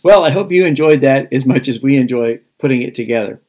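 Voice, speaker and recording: A man giving an informal, sincere sign-off as a school staff member, in a halting manner. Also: medium pitch, a little mellow. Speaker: school faculty member closing the program.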